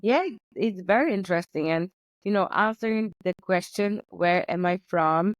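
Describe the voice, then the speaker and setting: A woman's voice: lowish voice, moderate pace, no room sound, highs cut off. A young woman, talking earnestly as a podcast guest, with many fillers. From Poland. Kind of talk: conversational interview.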